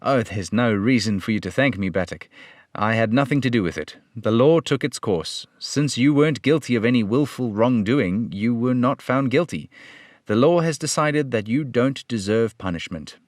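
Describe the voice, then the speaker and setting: A man voicing a firm, upright police detective in an old-time radio play, speaking in a measured, lecturing way. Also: mid-range voice, lively intonation, no echo, studio quality, natural voice. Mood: reassuring.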